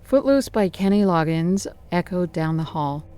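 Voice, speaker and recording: A narrator telling a scripted slasher horror story in a deliberate, menacing, dramatic way with theatrical pauses. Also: mid-range voice, lively intonation, dark tone, clean tone.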